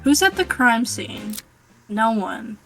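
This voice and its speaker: A woman chats casually while co-playing a game on stream. Her voice is fairly high and she takes her time with words. Game music runs faintly behind her. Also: big pitch swings, slow, creaky, sharp tone. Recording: music under the voice.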